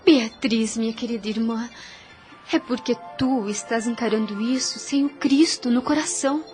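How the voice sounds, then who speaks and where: An actress playing a gentle, devout young servant woman in an audio soap opera, speaking earnestly and soothingly in formal phrases with a Brazilian accent. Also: on the high side, normal speed, soft background music, lightly acted. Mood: earnest, consoling. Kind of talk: radio soap opera acting.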